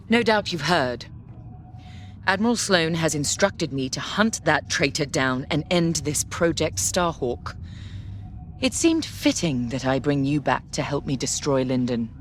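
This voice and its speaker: A woman's voice: deep voice, a little hiss or hum. A woman playing a stern, formal Imperial fleet captain in a video game, commanding and controlled, with a bitter edge. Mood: bitter, measured. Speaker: military commander giving mission briefing. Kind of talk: cinematic video game military briefing. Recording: background orchestral game music.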